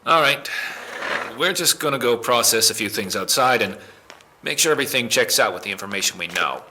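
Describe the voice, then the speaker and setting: A man playing a calm, courteous police officer in an amateur audio drama, asking questions in a measured, polite way. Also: mid-range voice, background noise, lightly acted. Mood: businesslike.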